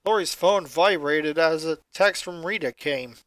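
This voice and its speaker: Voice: medium pitch, unhurried, no echo. A narrator reading a scripted story aloud. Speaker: fan fiction reader.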